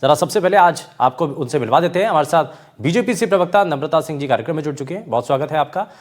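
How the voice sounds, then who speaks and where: A man's voice: a little high, expressive, fast talker. A man anchoring a television news debate, formal and courteous, speaking in long run-on sentences. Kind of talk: TV news anchoring, introducing a panel.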